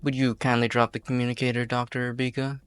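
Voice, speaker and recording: A man playing a calm, menacing leader of hostage takers in an amateur game fan dub, unhurried, folksy and coolly threatening. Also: medium pitch, clean tone, no echo, faint music behind, natural voice.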